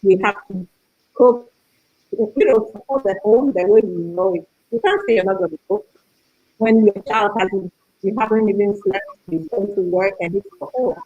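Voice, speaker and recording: A woman's voice: medium pitch, normal speed, warm tone, no echo, highs cut off. An earnest woman, a parent guest, talking about her experience on a video call. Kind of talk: online talk show chat.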